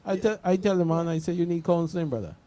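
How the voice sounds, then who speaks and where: A man's voice: on the high side, fast talker, a crowd in the background. A man talking casually and earnestly on a radio panel, colloquial and peppered with "you know".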